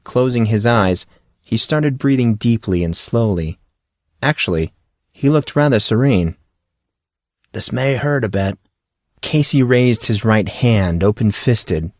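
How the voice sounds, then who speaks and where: Man, audiobook narrator, low pitch, dry close sound, scripted fiction reading. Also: darkish tone, dry recording.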